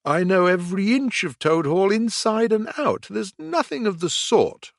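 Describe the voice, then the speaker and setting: Brief: man putting on a bragging storybook toad, high, bouncy and indignant. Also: unhurried, gravelly, no echo, clean studio sound, full character voice. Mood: excited. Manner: boastful, excitable and indignant. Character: boastful excitable male toad.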